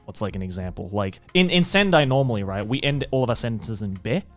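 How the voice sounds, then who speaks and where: A young man co-hosting a casual podcast, an animated storyteller who swears freely. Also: fairly low pitch, fast, faint music behind. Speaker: podcast co-host.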